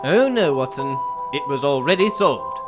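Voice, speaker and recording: A woman playing a courteous, self-assured gentleman detective, composed, deliberate and formal, in an old-time radio drama. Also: rumbling low, animated, loud music behind the voice. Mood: relaxed, explaining.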